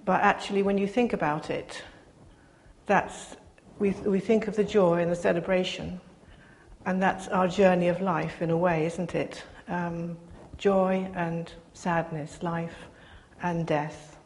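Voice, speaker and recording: An older woman giving a soft-spoken, reflective personal sermon to her congregation, pausing often as she speaks. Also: fairly low pitch, steady tone, slight background noise. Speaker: preacher.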